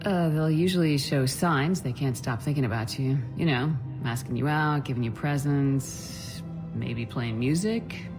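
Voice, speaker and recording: Low-voiced composed female commander. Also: measured pace, over music. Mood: encouraging. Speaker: military commander. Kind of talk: video game dialogue.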